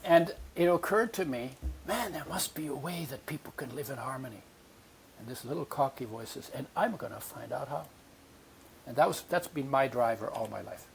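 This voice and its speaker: A man's voice: mid-range voice, normal speed, creaky, crowd noise. A man in his eighties, born in Poland, telling his life story as a podcast guest and acting out voices from his memories. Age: 80s. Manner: animated storyteller, acts out remembered voices.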